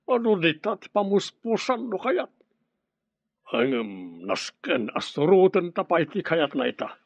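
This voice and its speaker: Young suitor, assertive, fairly high voice, radio drama, dry muffled sound. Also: male voice, big pitch swings, unhurried, dry recording, exaggerated voice. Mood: insistent. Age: young adult. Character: confident, insistent young man, devoted suitor.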